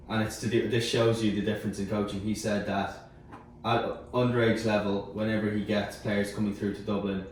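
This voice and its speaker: A man's voice: on the low side, darkish tone. A man talking casually in a panel discussion, giving his opinions emphatically and point by point. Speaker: sports media team member in panel discussion. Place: echoey room. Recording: very roomy, distant mic, faint noise.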